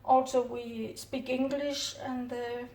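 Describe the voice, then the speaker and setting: A middle-aged Danish woman speaking English with a Danish accent, calmly narrating her work at home with many hesitant "uh" fillers. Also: fairly high pitch, takes it slowly. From Denmark. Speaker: orchid grower. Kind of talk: casual hands-on narration. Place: home kitchen counter, indoors. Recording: roomy distant camera audio, faint noise.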